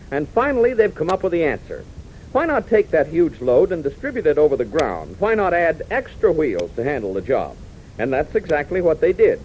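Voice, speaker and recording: An American man announcing and narrating a scripted 1940s radio adventure serial. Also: on the high side. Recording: muffled, noisy 1940s radio recording.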